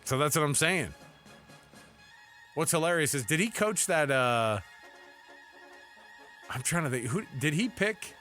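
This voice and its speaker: A man's voice: on the high side, lively intonation, measured pace, long pauses, sharp tone, faint music behind. An American man co-hosting a sports talk show, bantering casually and reacting with sarcastic disbelief.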